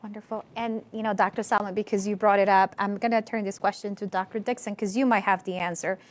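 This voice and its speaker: A woman's voice: medium pitch, steady tone, quick pace. A young adult woman moderating a studio panel, asking direct, conversational questions. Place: studio stage with curtain backdrop.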